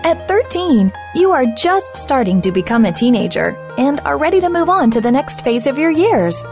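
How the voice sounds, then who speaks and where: A woman reads radio promos in a high, lively voice. Her delivery is upbeat and scripted, with a clear music bed playing under her. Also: moderate pace. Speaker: radio announcer.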